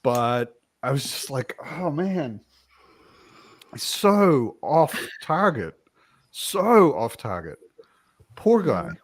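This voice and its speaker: A man's voice: mid-range voice, big pitch swings, drawn-out words, long pauses, no echo. A man chatting casually in a book discussion, repeating words as he gets his thoughts going. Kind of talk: casual chat.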